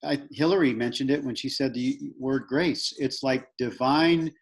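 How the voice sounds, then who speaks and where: A man with a mid-pitched voice hosts a spiritual book discussion. He speaks conversationally and haltingly, often repeating a word or two while he looks for the right phrase. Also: husky. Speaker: book club host.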